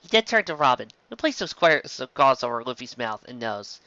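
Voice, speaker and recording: A woman reading a fan-made story aloud as an expressive amateur narrator. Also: rumbling low, brisk, no echo. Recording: dull, close lo-fi recording.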